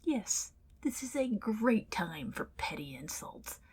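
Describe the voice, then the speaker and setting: A woman's voice: mid-range voice, sing-song, slow, a little mellow, slightly rough, exaggerated voice. A woman playing an indignant, flustered tsundere ghost in an ASMR roleplay, stammering and defensive as she protests. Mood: exasperated.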